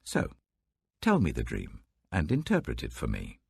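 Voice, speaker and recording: Man voicing an imperious gravelly British king. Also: extremely low pitch, wildly swooping pitch, dry recording, clean studio sound, voice pushed down, exaggerated voice. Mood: stern, threatening. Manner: commanding, deliberate. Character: imperious, threatening ancient Babylonian king.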